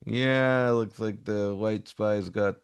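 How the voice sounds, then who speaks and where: A man co-hosting a casual comics chat, talking in a hesitant, halting, agreeable way. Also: fairly low pitch, steady tone, smooth. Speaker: co-host.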